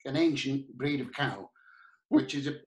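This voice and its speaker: A man with a Northern English accent, telling comic anecdotes in an animated, chatty, earthy and colloquial way as a podcast guest. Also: mid-range voice, moderate pace. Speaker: podcast guest, pantomime performer. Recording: roomy, echoey sound.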